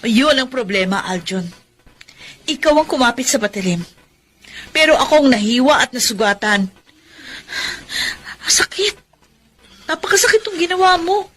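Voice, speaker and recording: A woman's voice: sits high, very animated, normal speed, pauses often, a bit bright, exaggerated voice. An actress playing a hurt, proud young career woman and devoted daughter in a radio drama, with a Filipino accent. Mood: hurt, indignant.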